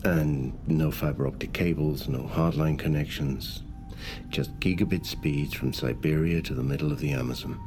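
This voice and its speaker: An actor playing a wealthy middle-aged magnate, speaking formally in a measured, halting way. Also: male voice, very deep voice, fairly even intonation. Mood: assured, explanatory. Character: wealthy, controlled, grave male business magnate. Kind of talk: naturalistic audio drama acting.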